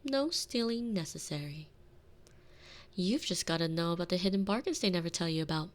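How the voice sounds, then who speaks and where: A woman's voice: fairly low pitch, lively intonation, a little fast, close to an everyday voice. A woman playing a sardonic, thrifty retired villainess turned doting housewife in an ASMR roleplay, with wry, teasing, theatrical delivery. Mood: wry, scheming. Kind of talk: scripted ASMR roleplay audio drama. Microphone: close binaural microphone.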